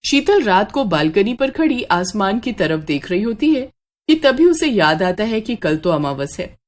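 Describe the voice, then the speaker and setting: A woman reading scripted horror narration as a dramatic, measured storyteller. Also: mid-range voice, very animated.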